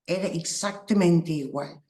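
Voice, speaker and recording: Slow, reflective woman, Spanish. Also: lowish voice, dark tone. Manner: slow, halting, reflective, with small laughs. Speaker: workshop teacher. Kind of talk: spiritual workshop talk. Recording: roomy echo.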